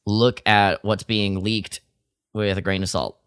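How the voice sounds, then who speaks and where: A man's voice: on the low side, little pitch movement, unhurried, fairly bright tone, clear, resonant tone, no room sound, studio quality. A man co-hosting a casual fan podcast, talking in a conversational style. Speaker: podcast co-host.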